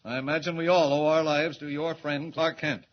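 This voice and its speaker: An actor playing a brisk, authoritative newspaper editor in a 1940s radio drama. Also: male voice, fairly high pitch, steady tone, close to an everyday voice. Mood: genial. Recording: muffled noisy 1940s radio recording.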